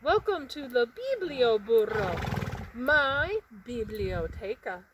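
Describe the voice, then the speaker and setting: A woman voicing a kindly, welcoming male librarian in a storybook, speaking in an emphatic, deliberate way. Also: fairly high pitch, sing-song, noisy background.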